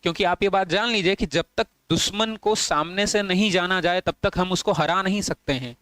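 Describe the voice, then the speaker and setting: A man's voice: high voice, normal speed, a bit bright, no echo. A man teaching an online exam-prep lesson, repeating points and asking and answering his own questions, with English phrases mixed into his Hindi.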